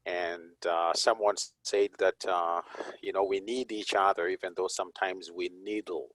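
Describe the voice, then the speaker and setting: A man from Zimbabwe, a police chaplain and guest, talking in an unhurried, reflective way with frequent chuckles. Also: low-pitched, steady tone, fairly bright tone, no room sound, low-quality recording. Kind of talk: conversational interview.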